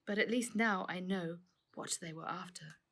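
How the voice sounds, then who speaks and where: A man's voice: high-pitched, normal speed, vocal fry, sharp tone, full character voice. A woman voicing a troubled, kindly uncle, a factory owner on the run, in an earnest storybook reading. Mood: wary, grave. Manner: earnest, troubled, exclaiming in surprise.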